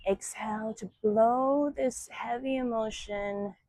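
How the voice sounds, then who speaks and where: A woman yoga teacher leads a guided meditation at a very slow pace. She speaks soothingly in short phrases, leaving long pauses between them. The sound is dry and close, with little room on it. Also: fairly high pitch, steady tone, warm tone, no room sound. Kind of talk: guided meditation and breathing instruction.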